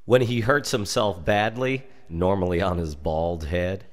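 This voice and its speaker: An American man reading a darkly humorous story aloud in an expressive, measured and dramatic storytelling manner. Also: sits low. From United States. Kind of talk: expressive scripted narration of a humorous dark tale.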